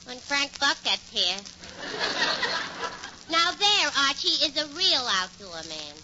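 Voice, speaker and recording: Brassy, high-pitched New gal. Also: female voice, very animated, slow, full character voice. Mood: skeptical. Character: brassy, skeptical, vain single woman. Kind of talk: 1940s radio comedy acting. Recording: noisy, dull 1940s radio recording.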